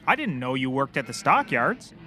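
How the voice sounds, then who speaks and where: An actor playing a clueless, eager private detective in a scripted audio comedy, excitable, earnest and oblivious. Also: male voice, medium pitch, moderate pace.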